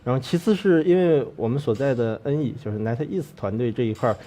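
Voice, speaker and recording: A male game producer answers as an interview guest. He explains things without a script. His voice is of medium pitch. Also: quick pace, dark tone.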